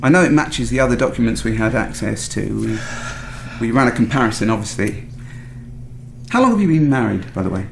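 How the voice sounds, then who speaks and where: Needling police investigator, gravelly male voice, chatty yet pointed, naturalistic radio drama, audible background ambience. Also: medium pitch, lively intonation, a little fast, a little hiss or hum. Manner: casual but pointed, questioning. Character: probing, quietly insinuating police detective, wryly chatty. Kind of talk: naturalistic radio thriller acting.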